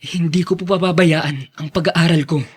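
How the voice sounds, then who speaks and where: A man's voice: a little high, fairly even intonation, moderate pace, slightly rough. A man with a Filipino accent acting a devoted, earnest young student son in a radio drama, tender and respectful. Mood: pleading, reassuring. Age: young adult. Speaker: actor in a drama.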